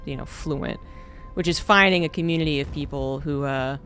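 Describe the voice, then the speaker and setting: A man's voice: a little high, normal speed, soft background music. A man streaming a game, chatting casually and rambling with lots of fillers. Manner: rambling, relaxed, many fillers. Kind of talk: casual gameplay chat.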